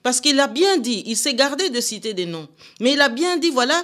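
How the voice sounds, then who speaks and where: A woman's voice: high-pitched, big pitch swings, brisk, fairly bright tone. An older woman, a guest answering radio interview questions in a firm, indignant, emphatic way from a studio. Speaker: political guest.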